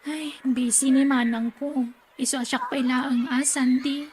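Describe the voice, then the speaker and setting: An actress playing a dutiful, self-sacrificing young aunt in an Ilocano radio drama, with an Ilocano accent. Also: female voice, high voice, fairly even intonation, unhurried, darkish tone, a little hiss or hum. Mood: patient.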